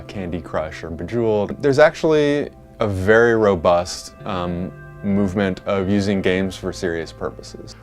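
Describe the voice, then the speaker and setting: A deep-voiced man talks through how he builds software, explaining at length and pausing to find the right words mid-sentence. Soft music plays behind him. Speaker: software developer. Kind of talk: documentary interview answers.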